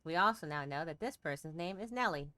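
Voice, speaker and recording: A woman's voice: low-pitched, lively intonation, fast, sharp tone. A woman giving casual commentary as she plays through a game. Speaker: game streamer.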